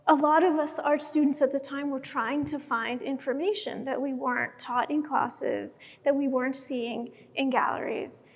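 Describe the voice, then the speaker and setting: A woman from Iran speaks on an art panel in a measured way, her voice set high. The sound rings out with long reverb in a gallery space. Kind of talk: panel discussion.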